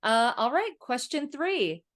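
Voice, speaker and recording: Female co-host, high lively voice, unhurried delivery, bubbly reactions. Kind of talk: casual chat.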